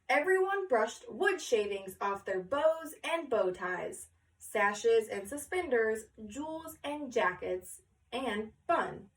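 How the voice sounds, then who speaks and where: A woman reading a children's story aloud with expressive, deliberate phrasing, pausing to question her listener. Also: a little high, recorded from a distance, rough recording.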